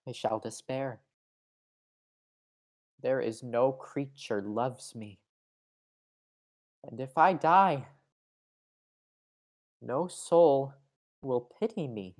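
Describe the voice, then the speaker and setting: A woman's voice: a bass voice, very slow, pauses often, a little mellow, heavily acted. A young woman playing a murderous, guilt-haunted king in a Shakespearean radio play, with theatrical, declamatory delivery. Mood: anguished, fearful. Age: young adult. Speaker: acting student.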